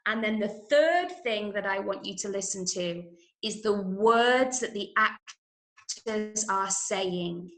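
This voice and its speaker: A woman from Manchester with an English accent, teaching an online drama lesson to children in an encouraging, clearly explained way. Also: medium pitch, slow pace, a bit bright. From Manchester, England. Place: indoor room, online call. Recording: echoey room.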